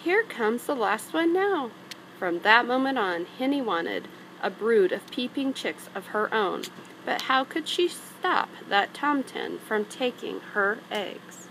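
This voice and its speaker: A woman's voice: medium pitch, animated, a little slow, fairly bright tone, a little hiss or hum, low-quality recording. A woman reading a children's story aloud in a narrating style, as a storybook reader.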